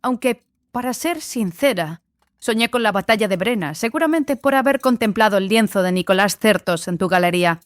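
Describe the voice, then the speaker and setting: A woman's voice: medium pitch, lively intonation, normal speed, no echo, close to an everyday voice. Woman playing a touchy young sorcery student, dry studio. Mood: defensive, sardonic. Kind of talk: audiobook drama acting.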